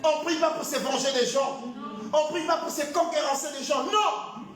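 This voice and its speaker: A male pastor preaching in a very high, strained voice that often rises to a shout. He is heard from a distance in a big, echoey hall, with the sound ringing around the room. He acts out sample prayers as he speaks. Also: moderate pace. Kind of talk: sermon.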